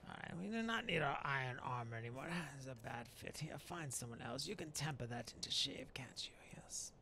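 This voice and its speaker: A man voicing a mild, polite Dark Elf shaman in game commentary, musing hesitantly with mannered courtesy. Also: mid-range voice, expressive, fairly quick, creaky, slight background noise. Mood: musing, amused. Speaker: gamer narrating a roleplay video.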